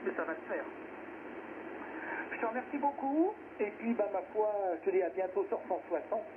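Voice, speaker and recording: A man's voice: sits high, animated, moderate pace. A man chatting casually as an amateur radio operator over a radio link, searching for his words as he goes. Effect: radio transmission. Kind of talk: casual radio contact chat. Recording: tinny, noisy shortwave radio reception.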